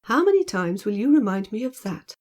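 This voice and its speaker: A mellow-voiced storybook gentleman, acted out by a woman reader, lazy and teasing. He speaks in playful rhetorical flourishes, mock-solemn and theatrical. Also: mid-range voice, sing-song, fairly quick, close to an everyday voice. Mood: amused, teasing. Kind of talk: audiobook character dialogue.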